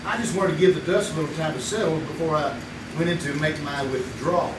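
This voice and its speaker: A man's voice: a little high, fast talker, natural voice. A man playing a sly folksy old confessor. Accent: Kentucky. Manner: folksy, drawling, chuckling confessional. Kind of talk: live old-time radio drama. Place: live theater stage. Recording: echoey stage audio from far off, audible noise.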